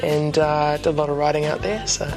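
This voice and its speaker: A young man in his twenties with a New Zealand accent, chatting as a musician guest in a relaxed, rambling way with lots of ums and restarts. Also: fairly high pitch, fairly quick, music in the background. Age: 20s. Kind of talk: casual interview chat. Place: TV studio couch.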